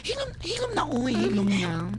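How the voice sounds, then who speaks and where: A woman playing a fussy, caring, excitable nanny in a melodramatic radio drama, exclamatory and scolding, with a Cebuano Filipino accent. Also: on the high side, normal speed. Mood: advising, chiding. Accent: Filipino, Cebuano-speaking. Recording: faintly noisy live radio capture.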